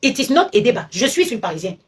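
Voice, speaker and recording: A woman with a fairly high, husky voice lectures her viewers on a live stream. She talks emphatically and hammers each point by repeating it. It sounds like a phone recording. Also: very animated, fast talker. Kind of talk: animated live-stream rant.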